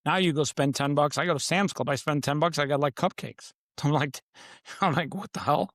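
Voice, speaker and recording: This is a man with a voice a little higher than most men's, recorded close and dry. He talks like a guest in a conversational interview. He is emphatic, stacking short punchy declarations and repeating them for dramatic weight. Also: steady tone, brisk, fairly bright tone, no echo. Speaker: podcast guest, author.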